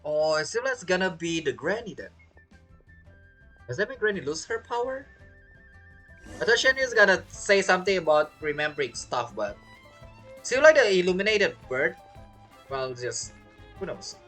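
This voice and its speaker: Playful woman with low, very lively voice. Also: normal speed, pauses often, faint music behind. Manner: playful, reacts aloud to characters. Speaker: game streamer. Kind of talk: casual playthrough commentary.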